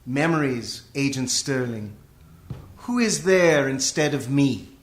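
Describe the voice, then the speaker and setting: A man's voice: mid-range voice, animated, slow pace. A man playing a creepy, courteous imprisoned cannibal in a theatrical radio comedy. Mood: sinister, measured. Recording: echoey room.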